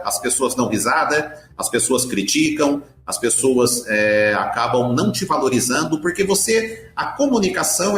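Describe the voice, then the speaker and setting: A man's voice: medium pitch, a bit bright. A middle-aged man with a Brazilian Portuguese accent, telling his story as an interview guest in an emphatic way, repeating words for stress. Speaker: podcast guest, author and speaker. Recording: roomy echo with faint music.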